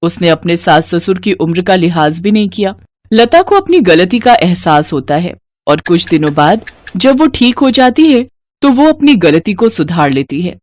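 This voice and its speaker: A woman's voice: on the low side, big pitch swings, moderate pace, darkish tone. A woman storyteller reading scripted narration of a moral tale.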